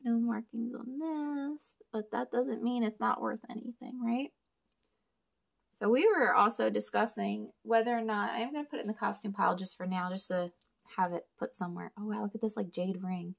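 Chatty woman with a creaky voice. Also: fairly high pitch, fairly even intonation, moderate pace. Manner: chatty, thinking aloud, talks to viewers. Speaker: storage unit reseller and vlogger. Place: indoors at a table. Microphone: camera over a table, hands only in frame.

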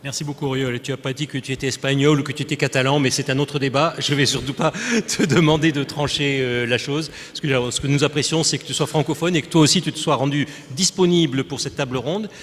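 An older French man moderates a panel in a formal, roundabout way, full of fillers and restarts. His pitch is ordinary. The sound hangs with a long reverb from a large hall. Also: fairly even intonation, quick pace, few pauses, a bit bright. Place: conference stage.

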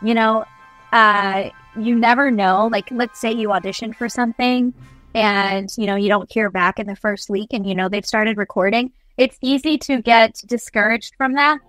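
A woman's voice: a little high, moderate pace, a bit bright, no room sound, faint music behind, poor audio. A young adult woman chatting enthusiastically and casually as an interview guest, giving advice on a video call. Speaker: guest voice actor. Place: small indoor room, on a video call.

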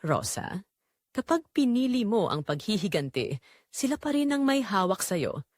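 Calm, reassuring therapist voiced by a woman. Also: mid-range voice, normal speed, no echo, studio quality. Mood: gentle firmness. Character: calm, understanding therapist counselling a hurt patient. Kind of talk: audio drama storytelling.

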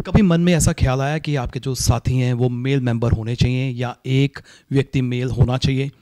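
A man's voice: mid-range voice, fairly even intonation, moderate pace, dark tone. A middle-aged man with an Indian accent conducting a news interview, introducing his guest and asking questions. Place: indoor living room, seated interview. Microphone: handheld reporter mic.

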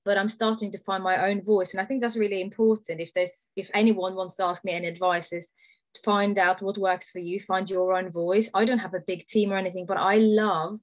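A woman's voice: mid-range voice, steady tone, fairly quick, no room sound. A woman in her thirties from Norway, a native Norwegian speaker, giving an informal personal testimonial on a video call. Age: 30s. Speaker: product distributor giving a testimonial. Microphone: video call, computer microphone.

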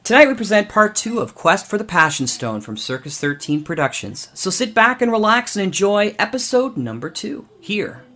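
A woman's voice: medium pitch, big pitch swings, normal speed, few pauses, fairly bright tone. A woman hosting an audio drama show with a chatty introduction. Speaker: podcast host.

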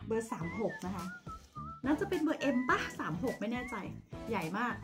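A woman in her thirties from Thailand chatting to viewers in a casual haul vlog, recorded on a phone in a small bright room. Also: fairly high pitch, very animated, normal speed, over music. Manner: chatty and friendly, addresses viewers often. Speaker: vlogger.

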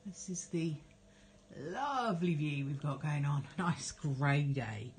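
A woman with a croaky cold, giving a casual, chatty tarot card reading at a table at home and filmed on a phone. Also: sits low, lively intonation, takes it slowly, a little hiss or hum. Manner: chatty, repeats words and fillers, gentle guiding tone. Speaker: tarot reader.

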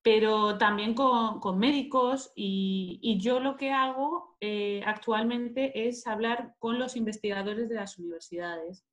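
A woman's voice: fairly high pitch, a little slow, hardly any pauses. A woman in her thirties from Madrid, with a Spain Spanish accent, answering interview questions conversationally on a video call. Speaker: interviewee, market manager and scientist. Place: indoors, plain-walled room, video call. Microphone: earphone headset on video call.

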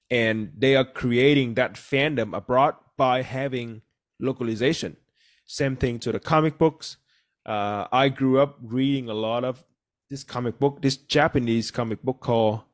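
Man, thirties, native Vietnamese speaker, podcast host, earnest explaining, video call earphone mic. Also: medium pitch. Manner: earnest, explanatory, with frequent "you know" and "right". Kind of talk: casual podcast discussion.

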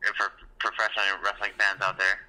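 A man's voice: fairly low pitch, completely flat delivery, quick pace, bright, forceful tone, poor audio. A man hosting a casual podcast, joking around, teasing his co-host and laughing often. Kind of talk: casual chat.

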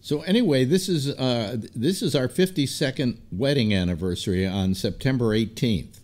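A man's voice: mid-range voice, normal speed, darkish tone. A man in his seventies with an American accent, hosting a talk show in a rambling, casual way from a desk microphone at home. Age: 70s. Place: home room lined with disc shelves.

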